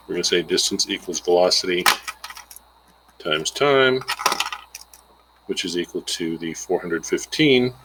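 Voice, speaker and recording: A man's voice: low voice, noisy background. A man giving an informal, plainspoken lesson, explaining a worked example step by step and thinking aloud as he calculates. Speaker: instructor.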